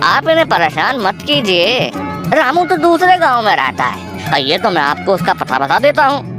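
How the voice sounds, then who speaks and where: A woman voicing a crafty, long-suffering village moneylender in a cartoon, measured and courteous as he admonishes. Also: mid-range voice, big pitch swings, over music, voice pushed down, close to an everyday voice. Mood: placating. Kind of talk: Hindi cartoon acting.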